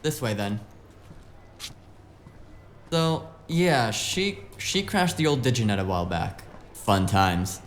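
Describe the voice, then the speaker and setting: A man's voice: on the low side, lively intonation, takes it slowly, pauses often, a little hiss or hum. A man playing a wisecracking, streetwise hacker sidekick in a cyberpunk audio drama, talking in a casual, slangy, flippant way. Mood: breezy.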